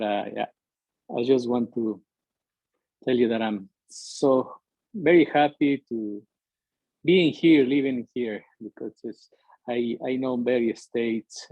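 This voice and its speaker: A middle-aged man from Ecuador with an Ecuadorian Spanish accent, a native Spanish speaker talking as a guest in halting English, searching for words with many fillers. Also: medium pitch, dry recording, highs cut off. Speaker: interview guest, musician. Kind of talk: conversational interview, halting English.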